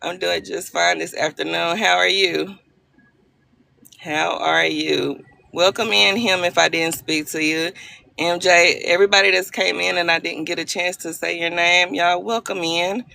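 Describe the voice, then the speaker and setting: Woman, gravelly voice, bright edge, playful live-chat host on a phone. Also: on the low side, steady tone, measured pace. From Arkansas, US South. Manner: chatty, playful, laughs often, greets viewers by name. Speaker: livestream host. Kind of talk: casual live-stream chat with viewers.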